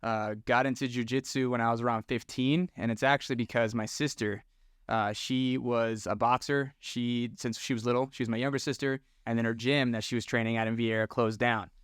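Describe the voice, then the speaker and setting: A man from Florida, a podcast guest who tells stories in a relaxed, slangy way. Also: medium pitch, brisk, clear, resonant tone, no echo, studio quality. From Orlando, Florida, US. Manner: relaxed, slangy, talks with friendly bro energy. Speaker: podcast guest, tattoo shop owner. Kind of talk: casual storytelling chat.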